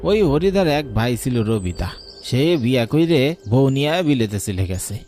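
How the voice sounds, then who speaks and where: A man's voice: mid-range voice, very animated, moderate pace, warm tone, slight background noise, lightly acted. Man acting a superstitious villager, rural Bengali accent. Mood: sympathetic. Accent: rural colloquial Bengali. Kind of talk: Bengali horror cartoon acting.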